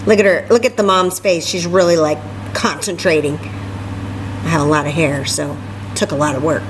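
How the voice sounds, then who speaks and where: A woman reading a story aloud to children in an expressive way, with chatty asides and questions. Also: low voice, brisk, background noise. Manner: expressive, asks listeners questions. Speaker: library story time reader.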